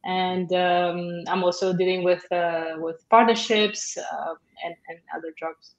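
A woman's voice: lowish voice, level tone, unhurried. Young woman from Romania, friendly advisor, hesitant; echoey office, dull webcam sound. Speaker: academic advisor. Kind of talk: video call interview. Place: office, indoors. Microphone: laptop webcam on video call.

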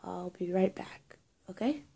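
Young woman for improvised witch roleplay: unhurried, halting delivery, soft dark tone, breathy and often near a whisper. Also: fairly low pitch, animated. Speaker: roleplay video creator. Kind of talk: improvised roleplay to camera, playing a witch caring for someone hurt. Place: small indoor room with bare walls. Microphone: phone or webcam at arm's length.

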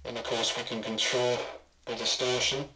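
A man's voice: mid-range voice, level tone, normal speed, reverberant, background noise. A middle-aged man giving a casual demonstration in a hesitant way, his voice run through a robotic ring modulator effect. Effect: ring modulator robot voice changer. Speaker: prop maker. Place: small domestic room, indoors. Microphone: camera a short distance away.

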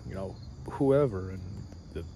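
A man's voice: lively intonation, unhurried, poor audio. A man in his thirties rambling hesitantly to a camera held close below his face, with plenty of fillers and trailing thoughts. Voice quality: fairly deep voice. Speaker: vlogger. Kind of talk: rambling casual monologue. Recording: loud cricket noise behind voice. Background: crickets and insects at night.

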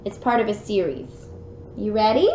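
A woman reading a picture book aloud to a small child in an expressive, sing-song, child-directed way at home. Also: medium pitch, moderate pace, echoey room. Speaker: children's story time reader. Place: room at home with bookshelves. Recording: dull lo-fi home recording with noise.

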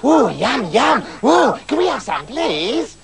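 A man voicing three tiny, excitable magical little men who squeal and coo in a storybook tale. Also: normal speed, creaky, a loud crowd, exaggerated voice. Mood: excited. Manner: squeaky, ooh-ing, giddy.

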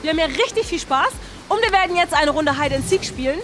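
A woman's voice: sits high, expressive, quick pace, music in the background. A young woman hosting a vlog in a casual, chatty way, thinking aloud as she talks to the camera. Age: young adult. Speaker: host of a YouTube challenge vlog. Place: large indoor swimming pool hall.

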